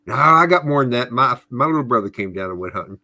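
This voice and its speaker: A middle-aged man with a bright, forceful voice chats on a podcast livestream. He is friendly and folksy and greets viewers warmly by name. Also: medium pitch, expressive, fast. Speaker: podcast co-host. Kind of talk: casual livestream chat.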